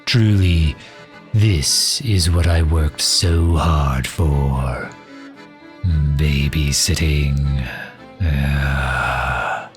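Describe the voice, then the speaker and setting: Casting: male actor as a loyal fantasy mage advisor, extremely low voice, slow and dryly cutting, for roleplay audio. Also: faint music behind, full character voice. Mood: dry sarcasm. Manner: dry, sardonic, drawn-out delivery with sighs. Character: loyal, sharp-tongued male mage advisor to a demon ruler. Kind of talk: ASMR roleplay audio drama.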